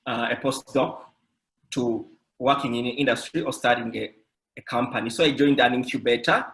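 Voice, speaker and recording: Man from Uganda, panel intro, steady mid voice, unhurried. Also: medium pitch, poor audio. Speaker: scientist and startup founder, panelist. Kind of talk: self-introduction on an online panel.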